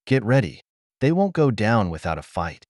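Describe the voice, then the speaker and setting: A man's voice: fairly low pitch, big pitch swings, normal speed, a little mellow, dry recording, studio quality. A man voicing a massive, steadfast male alien warrior leader, resolute, in a science fiction audiobook.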